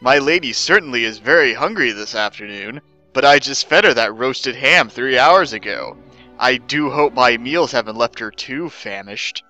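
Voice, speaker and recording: A man's voice: medium pitch, expressive, moderate pace, bright, forceful tone, lo-fi sound, soft background music. A man voicing a polite young servant girl who speaks deferentially to her mistress in a dramatic story reading. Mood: concerned. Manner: deferential, exclaiming.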